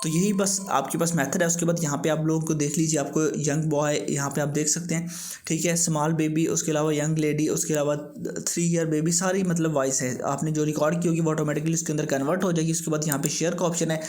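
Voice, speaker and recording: A man's voice: fairly high pitch, fairly even intonation, fast, darkish tone. A man hosting a tech tips tutorial, giving step-by-step instructions. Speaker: host of a tech tips channel. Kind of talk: scripted-sounding tutorial narration for a video.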